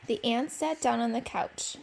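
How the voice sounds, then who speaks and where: A woman's voice: on the high side, measured pace, gravelly, noisy background. A woman teacher reading a picture book aloud expressively to children. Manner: expressive, simple phrasing for young children.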